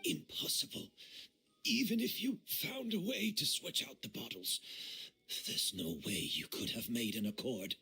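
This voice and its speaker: Slow, swooping, bitter master perfumer. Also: male voice, medium pitch, huge pitch swings, creaky, pushed and bright, slightly rough, soft background music, exaggerated voice, low-quality recording. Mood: shaken disbelief. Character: older male master perfumer, obsessive, bitter, refined villain. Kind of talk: dramatic video game voice acting.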